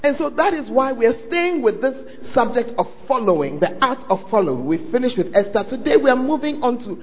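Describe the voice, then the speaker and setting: A man's voice: very high-pitched, very animated, fairly quick, long reverb tail, dull audio, a little hiss or hum. A man with a Ghanaian accent preaching a sermon, prodding the congregation to answer him and talk to their neighbours. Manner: calls out to the audience, prods them to respond. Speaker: pastor. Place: large church hall.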